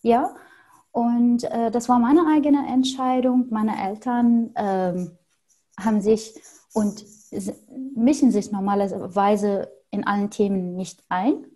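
A young woman from Syria whose first language is Arabic speaks in a soft, mellow tone. She answers slowly and thoughtfully, pausing often with fillers as she searches for the words. Her voice comes through a headset mic on a video call. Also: on the high side, fairly even intonation, poor audio. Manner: thoughtful, hesitant with frequent fillers. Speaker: podcast guest. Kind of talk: casual interview answers. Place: small home room with paintings on wall.

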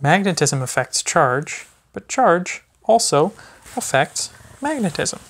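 A man in his thirties, a teacher, reading a children's book aloud with gentle, chatty asides to a baby. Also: on the high side, sing-song, slow pace. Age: 30s. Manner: gentle parent talking to a baby. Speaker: physics teacher. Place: small carpeted or wood-floored room at home, indoors. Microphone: phone camera a few feet away.

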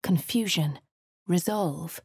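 An actress with a posh English accent playing a composed adventurer in a game, speaking in a dry, measured, lightly sardonic way. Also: female voice, fairly low pitch, a little mellow, breathy edge, no room sound, full character voice. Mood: wry. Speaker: player character. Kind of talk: game voice lines. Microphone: studio mic.

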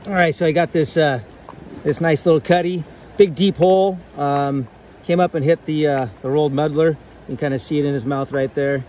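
A man's voice: on the high side, fairly even intonation, brisk, darkish tone, slight background noise. A man filming himself fly fishing outdoors by a river, talking casually with many fillers and restarts.

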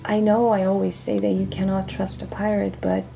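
Creaky, guarded woman pirate captain, acted by a woman. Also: mid-range voice, completely flat delivery, moderate pace, mellow, soft background music, poor audio. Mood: weary, guarded. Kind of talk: dramatic audio roleplay acting.

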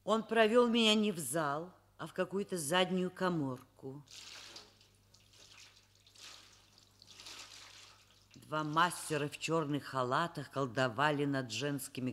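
A woman's voice: very deep voice, normal speed, hardly any pauses, a bit bright. An actress playing a wry, educated middle-aged woman professor in a radio drama, both narrating and talking in an ironic, articulate, literary manner. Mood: observant narration.

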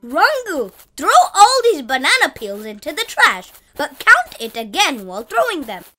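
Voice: high-pitched, wildly swooping pitch, moderate pace, bright, forceful tone, slight background noise, exaggerated voice. An actor voicing a bossy but caring young boy in a children's educational cartoon, instructive in manner. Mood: scolding. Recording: clear children's music behind.

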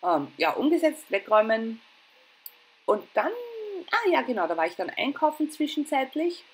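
A woman's voice: a little high, big pitch swings, normal speed. A middle-aged woman with an Austrian accent narrating her household routine in a chatty, informal, self-deprecating way. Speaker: home and cooking vlogger. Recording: clear background noise.

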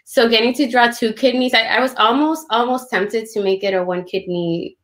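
A woman's voice: a little high, moderate pace. Informal, chatty young woman on a call. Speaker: children's book illustrator. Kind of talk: casual conversational book talk. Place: indoor home room on a video call. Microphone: video call, computer at desk distance.

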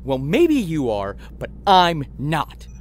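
A man's voice: on the high side, huge pitch swings, takes it slowly, slight background noise, exaggerated voice. An actor playing an indignant, panicky man with a melodramatic, exclamatory delivery in a comedy audio drama. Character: indignant, panicky man, a righteous customer prone to screaming.

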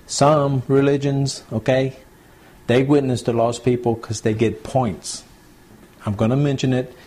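A man, a pastor teaching scripture to a small home church group in a relaxed, repetitive, conversational way. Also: mid-range voice, a little slow. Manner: conversational, repeats points, addresses group as y'all. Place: small home gathering, recorded to CD.

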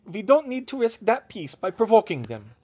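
A man voicing a stern, sharp older witch official in an audiobook. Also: high-pitched, very animated, moderate pace, husky, pitched above the performer's own voice, heavily acted. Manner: sharp, unyielding.

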